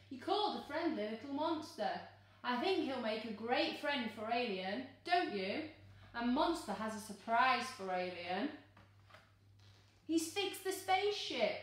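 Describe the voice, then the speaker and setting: A woman in her thirties reading a picture book aloud to children in an expressive, exclaiming, coaxing teacher's manner. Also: fairly high pitch. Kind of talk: animated children's storybook read-aloud. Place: living room, seated on a sofa. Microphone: camera a few metres away. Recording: echoey room, distant microphone.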